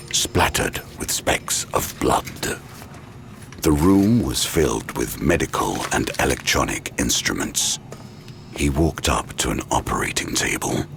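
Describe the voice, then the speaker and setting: A man's voice: a bass voice, vocal fry, fairly bright tone, slight background noise. A man reading scripted, dramatic horror narration for an audio drama.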